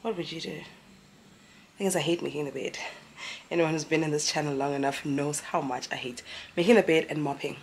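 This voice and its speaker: A woman's voice: low-pitched, fairly even intonation, brisk, a little mellow, a little hiss or hum. A woman, chatting casually to camera as a mom vlogger and correcting herself as she rambles. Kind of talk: casual vlog chat to camera. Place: at home.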